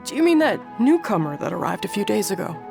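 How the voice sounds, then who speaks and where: A woman playing a relaxed, helpful villager in a video game, chatty and easygoing. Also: mid-range voice, quick pace, creaky, music in the background. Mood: friendly, helpful. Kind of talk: video game cutscene acting.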